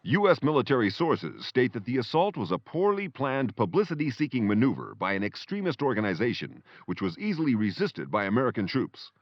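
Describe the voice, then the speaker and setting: An actor playing a male news anchor in a video game, reading a scripted bulletin in a formal, measured announcer manner. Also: medium pitch, big pitch swings, no room sound. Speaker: newsreader. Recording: dull, lo-fi game audio.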